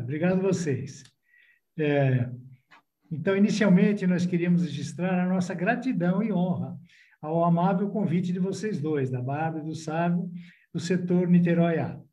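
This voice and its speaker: A man's voice: sits high, dark tone. A man in his sixties, born in Italy with Italian as his first language, speaking formally as a podcast guest. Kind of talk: podcast interview.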